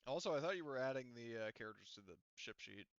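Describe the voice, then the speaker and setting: A man's voice: medium pitch, lively intonation, quick pace, no echo, highs cut off. A man running a tabletop game online, casually explaining and correcting himself as he goes. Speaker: game master. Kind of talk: casual tabletop game session over voice chat. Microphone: close computer mic, online voice call.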